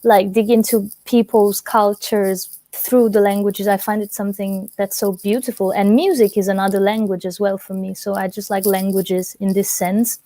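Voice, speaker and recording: A young woman in her twenties from Italy, chatting as a guest in an interview with a relaxed manner and lots of fillers. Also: mid-range voice, moderate pace, few pauses, no room sound. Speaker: guest singer. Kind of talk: casual interview chat.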